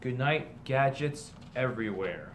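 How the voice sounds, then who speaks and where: Man reading aloud over clear background noise. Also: medium pitch, lively intonation, a little slow. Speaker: teacher reading aloud to students. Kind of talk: children's picture-book read-aloud with casual asides.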